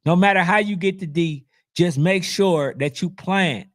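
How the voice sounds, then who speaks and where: A man with a fairly high, hoarse voice talks straight to his audience, as if his voice is wearing out. He comments on sports in an animated, preachy manner, reading out comments as he goes. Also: a little slow. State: losing his voice. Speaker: youth football channel host.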